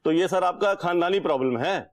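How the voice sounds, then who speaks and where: An actor playing a polite but nosy bank clerk who makes teasing remarks. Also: male voice, high-pitched, brisk, noisy background, close to an everyday voice. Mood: cheeky. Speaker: bank employee.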